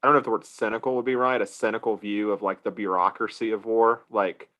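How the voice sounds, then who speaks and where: A man co-hosting a film podcast, chatting casually and quick to jump in with questions. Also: on the low side, fairly even intonation, pushed and bright. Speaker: podcast co-host.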